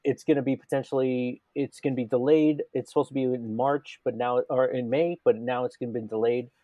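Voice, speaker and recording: A man's voice: medium pitch, fairly even intonation, fairly quick, dark tone, no room sound. A man co-hosting a casual gaming podcast, talking enthusiastically and rambling with many restarts. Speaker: podcast co-host.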